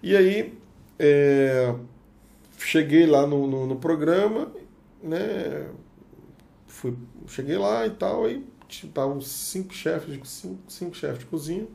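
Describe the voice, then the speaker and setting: A man with an Espírito Santo Brazilian Portuguese accent tells a story on a podcast as a guest chef. His voice sits a little high and is heavily gravelly, full of vocal fry. He slips into the other people's lines as he tells it. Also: slow. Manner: lively storyteller who acts out the dialogue. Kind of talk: casual anecdote telling.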